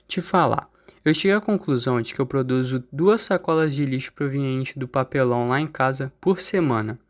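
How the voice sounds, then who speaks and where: A man's voice: medium pitch, a little fast, lightly acted. A man playing a laid-back, slangy Brazilian student in an amateur radio drama, casual and unbothered.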